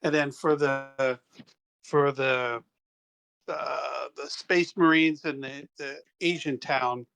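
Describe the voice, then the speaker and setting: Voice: mid-range voice, fairly even intonation, slow, gravelly, a bit bright. Give me a hesitant player musing aloud in a casual game session. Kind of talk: casual tabletop game chat.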